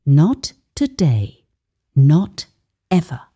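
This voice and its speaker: A woman voicing a young man in a folktale, a firm, protective suitor who speaks quietly, definitely and deliberately. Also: deep voice, sing-song, husky, voice pushed down, full character voice. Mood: firm, cold.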